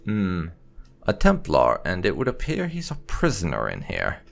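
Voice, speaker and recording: A man giving casual, expressive, conversational video game commentary with some light roleplay. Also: low voice, normal speed, gravelly. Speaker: gaming channel host. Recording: faint game music behind.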